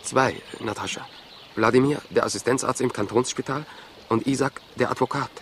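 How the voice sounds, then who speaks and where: A man's voice: mid-range voice, steady tone, moderate pace, a bit bright, slight background noise, natural voice. An actor playing an ardent, naive, earnest young male student in love, in a 1960s radio crime play. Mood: lovestruck.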